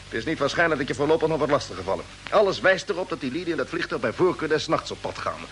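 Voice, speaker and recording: A decisive space captain in an old radio play. He talks quickly and with lively pitch, giving firm orders with a fatherly, reassuring touch. The recording has background noise. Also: poor audio. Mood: commanding. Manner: authoritative, reassuring, ends phrases with questioning tags. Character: brisk, decisive male space expedition captain, fatherly toward crew. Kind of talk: mid-century radio drama acting.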